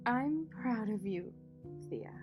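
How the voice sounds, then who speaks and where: A woman acting in an audio drama as a poised, protective, wealthy mother and executive, measured, polished and dryly witty. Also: on the high side, animated, muffled recording. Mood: proud, tender. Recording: music behind the voice.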